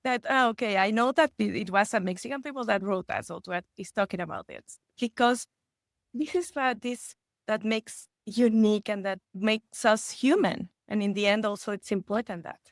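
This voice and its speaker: Woman, earnest podcast guest, mid pitch, dry close sound. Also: normal speed, dry recording. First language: Spanish. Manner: earnest, with frequent restarts and rising excitement. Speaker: guest expert. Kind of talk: conversational podcast interview.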